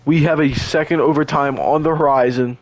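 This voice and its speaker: A young man, hoarse and losing his voice, doing casual, slangy play-by-play of a video game football match. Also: a little high, fairly quick, dry recording. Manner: excitable, slangy, stumbles and restarts. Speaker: play-by-play commentator.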